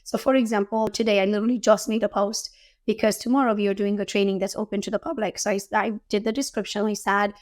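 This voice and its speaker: A woman with a worn, hoarse voice that is fading on her, teaching a class about social media. She talks in a practical, casual way, often checking in with her listeners. Her voice sits at an average pitch. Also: flat delivery, fast, darkish tone. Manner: practical, chatty, asks "right?" often. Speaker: social media instructor and show host.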